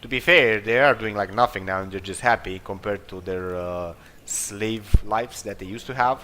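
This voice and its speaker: A man's voice: lowish voice, moderate pace, bright, forceful tone. A man giving casual, rambling game commentary, hesitant and hedging as he talks. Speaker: gameplay video host. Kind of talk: casual game commentary, reading dialogue aloud. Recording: game music behind the voice. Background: game music.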